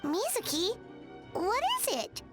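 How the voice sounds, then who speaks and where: Childlike game mascot, extremely high squeaky voice, animated, exclamatory, music behind. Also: female voice, slow pace, heavily acted. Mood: eager. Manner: exclamatory, dramatic. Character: small excitable childlike female sidekick companion. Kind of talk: video game voice acting.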